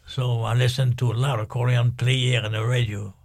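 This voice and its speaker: Older interview guest from Algeria, gravelly, halting, pausing often. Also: male voice, medium pitch, flat delivery. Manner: halting, with many pauses and fillers. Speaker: guest musician. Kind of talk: casual interview.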